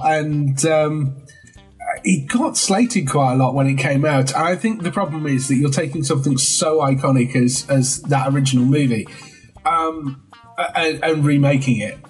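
A man's voice: a little high, normal speed, soft background music, rough recording. A man hosting a casual podcast chat, hesitant with many fillers and easy laughs. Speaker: podcast host.

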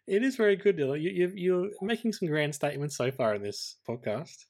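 An Australian man co-hosting a podcast, talking casually about films in a measured, tentative way, thinking aloud. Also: fairly high pitch, animated, darkish tone. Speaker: podcast co-host. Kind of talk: casual film discussion.

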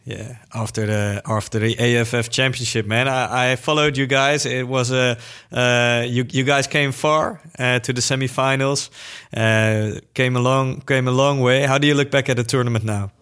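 A man's voice: mid-range voice, normal speed, smooth. A man hosting a podcast, narrating a scripted intro and then chatting casually and friendly with a guest. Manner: relaxed, friendly, uses "man". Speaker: podcast host.

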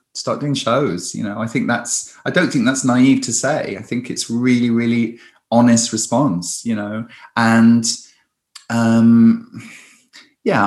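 A man, a stage performer, chatting in a conversational interview with lots of restarts and lively storytelling. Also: mid-range voice, roomy sound.

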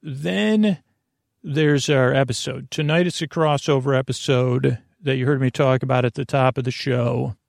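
A man hosting a sleep podcast with a creaky voice, talking in a meandering, halting, reassuring way that often repeats and trails off mid-thought. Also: mid-range voice, dry recording, clean studio sound. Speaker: podcast host. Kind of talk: rambling bedtime sleep podcast intro.

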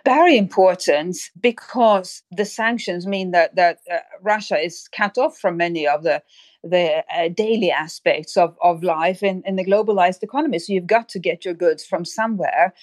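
A woman with a slightly low voice, recorded close and dry. She talks as an expert guest in an analytical discussion, often doubling back on words as she shapes her point. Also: moderate pace, no room sound.

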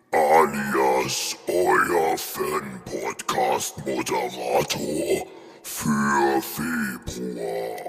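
Cavernous, extremely deep demon of death. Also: male voice, a bit bright, slightly rough, exaggerated voice. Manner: slow, theatrical, threatening. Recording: cavernous reverb over music.

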